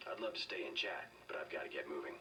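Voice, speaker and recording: An actor playing a calm, gruff secret agent in a video game, speaking in a terse, deadpan way. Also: male voice, extremely low pitch, fast talker, recorded from a distance, slight background noise.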